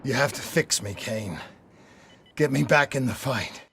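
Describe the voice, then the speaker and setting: Intense wounded soldier, swinging pitch. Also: animated, unhurried, vocal fry, background noise. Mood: pained, determined. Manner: intense, earnest. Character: determined, wounded soldier, emotional and stubborn. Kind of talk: cinematic video game acting. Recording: loud music under the voice.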